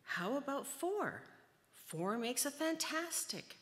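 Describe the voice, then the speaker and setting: Female reader as an earnest, overworked storybook fellow, expressive swings in pitch, reverberant hall recording. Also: slow, full character voice. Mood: eager. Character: overworked, earnest storybook man multiplying himself.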